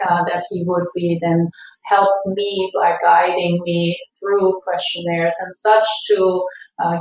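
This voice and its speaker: A middle-aged woman speaks with a German accent, recounting things conversationally as a guest. Her voice is on the low side and very even in pitch. She sounds set back from the microphone in a very echoey room. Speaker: interview guest, dentist. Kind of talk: conversational recounting of personal experience. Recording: echoey room, distant from the mic.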